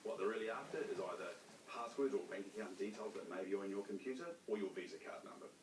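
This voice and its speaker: A man's voice: lowish voice, fast, echoey room, recorded from a distance, slight background noise, low-quality recording. A man speaking as a company spokesman, giving plain-spoken, explanatory advice in an interview.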